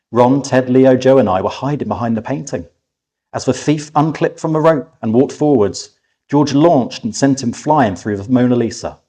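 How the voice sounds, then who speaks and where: A man reading a children's story aloud as an expressive narrator, with animated delivery and dramatic pauses. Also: medium pitch, breathy edge. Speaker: author reading a competition-winning story aloud.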